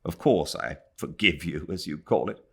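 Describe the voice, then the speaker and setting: A man's voice: a bass voice, moderate pace, gravelly, a bit bright, slightly rough, exaggerated voice. Man acting a pompous, impatient young English official. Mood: firm, curt. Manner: officious, curt, emphatic.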